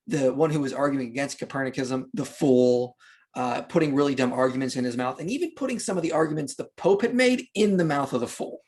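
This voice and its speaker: A man's voice: a little high, breathy edge. A man speaking as an interview guest, explaining history in unscripted podcast talk with an emphatic, argumentative manner.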